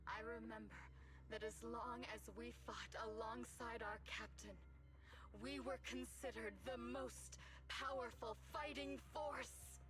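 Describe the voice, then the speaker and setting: A woman playing a brooding, obsessive wounded soldier villain in a video game, speaking in slow, deliberate, dramatic monologue. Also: fairly high pitch, steady tone, a bit bright. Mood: solemn, fervent. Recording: music playing behind the voice.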